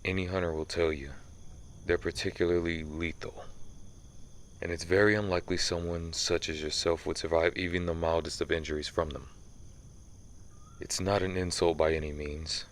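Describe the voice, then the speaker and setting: A man's voice: sits low, little pitch movement, slight background noise, natural voice. A man playing a centuries-old vampire who hunts vampires, stern, commanding and menacing, in a scripted ASMR audio roleplay. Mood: grave, probing. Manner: slow, menacing, interrogating.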